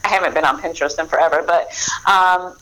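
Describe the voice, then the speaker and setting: A woman's voice: deep voice, fast talker, fairly bright tone, rough recording. A woman in her forties, an author guest, talking in a rambling, easygoing way with many restarts. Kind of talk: casual interview chat.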